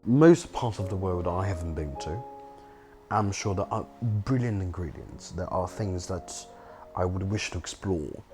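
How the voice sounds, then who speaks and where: A young man from China speaks in a deep, low voice, thinking aloud with frequent restarts and fillers. He talks reflectively in a documentary interview. Clear music plays underneath. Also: animated, measured pace, dark tone. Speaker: filmmaker and home cook.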